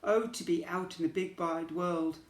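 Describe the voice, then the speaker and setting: A man's voice: on the high side, steady tone, normal speed, reverberant, lightly acted. A man voicing a restless, longing male rocking horse in a picture-book reading, with a sing-song, wishful delivery. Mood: wistful.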